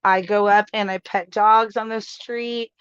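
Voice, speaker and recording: A woman's voice: medium pitch, fairly even intonation, measured pace, a bit bright, clear, resonant tone, no room sound, dull audio. A woman co-hosting a casual live stream, upbeat and chatty, often saying "you know". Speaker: co-host.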